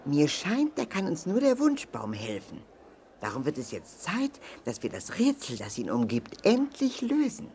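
Eine Schauspielerin spricht einen freundlichen Zahndoktor in einem Kinderhörspiel, mit rauchiger Stimme, eifrig erklärend, betont und ermutigend. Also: female voice, low voice, huge pitch swings, unhurried, mellow, a little hiss or hum, heavily acted. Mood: thoughtful. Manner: emphatic, explanatory, encouraging. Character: friendly, instructive tooth-care doctor, eager teacher. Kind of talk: children's audio drama.

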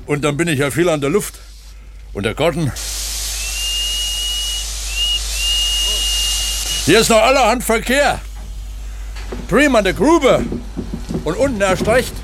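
An actor playing an eager, earnest pump attendant at an opencast mine in a naturalistic radio drama, explaining things like a tour guide. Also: male voice, high voice, big pitch swings, normal speed, long pauses, noisy background. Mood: matter-of-fact, proud.

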